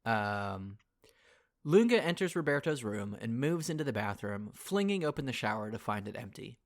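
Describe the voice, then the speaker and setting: Male podcast co-host, middle-pitched, regular voice of a discussion show. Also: big pitch swings, normal speed. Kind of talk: casual chat.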